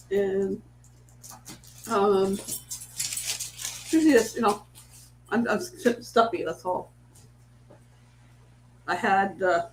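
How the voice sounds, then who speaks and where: Chatty, stuffy-nosed woman in an echoey room. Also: mid-range voice. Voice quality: stuffy, congested nose. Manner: rambling, chatty, with many restarts. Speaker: livestream cooking host. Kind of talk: casual chat on a live stream, greeting viewers. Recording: echoey room with faint hum.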